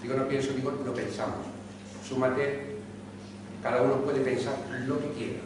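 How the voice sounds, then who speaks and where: A man's voice: mid-range voice, flat delivery, normal speed. A man in his sixties from Córdoba, giving an impassioned talk at a neighborhood meeting, full of rhetorical questions. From Spain (Córdoba). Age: 60s. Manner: rhetorical questions, emphatic and earnest. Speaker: speaker at a public talk. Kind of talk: impassioned public speech. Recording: echoey hall, distant mic, background noise.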